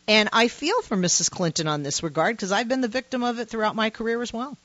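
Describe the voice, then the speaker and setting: A woman with a low voice for a woman, hosting a call-in talk radio show in a passionate, emphatic, conversational way. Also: fast, a bit bright, dry recording. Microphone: studio microphone. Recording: muffled radio broadcast, dry.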